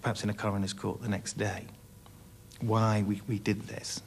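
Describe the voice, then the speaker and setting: A man's voice: lowish voice, level tone, normal speed. A man in his forties giving a reflective interview as a rescue diver. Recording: clear background noise.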